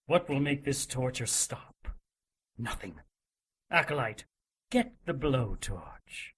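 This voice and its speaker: A man's voice: mid-range voice, animated, slow pace, a bit bright, husky, full character voice. An actor playing a cruel, zealous religious inquisitor in an audio drama, menacing and mock-polite as he coldly gloats. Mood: threatening.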